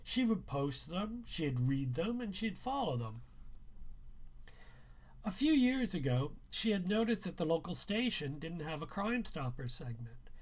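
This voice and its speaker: A man reading a first-person novel aloud as its author, with deliberate storytelling phrasing. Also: fairly high pitch, big pitch swings.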